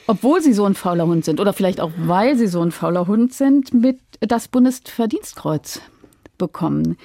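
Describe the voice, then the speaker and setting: A woman's voice: mid-range voice, dark tone, no room sound. A woman interviewing a guest in a conversational style with prepared questions. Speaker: radio interviewer.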